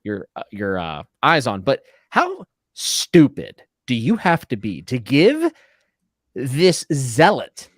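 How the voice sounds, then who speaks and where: A man's voice: medium pitch, wildly swooping pitch, slow, dry recording, studio quality. A man co-hosting a live readalong chat, talking casually about a book.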